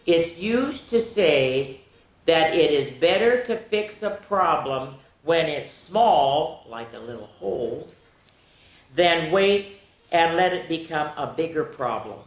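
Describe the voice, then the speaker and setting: An older woman hosting a children's story time, reading nursery rhymes aloud in a sing-song, drawn-out way with chatty asides to her young listeners. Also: low-pitched, expressive. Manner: addresses young listeners directly. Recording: roomy, echoing room sound.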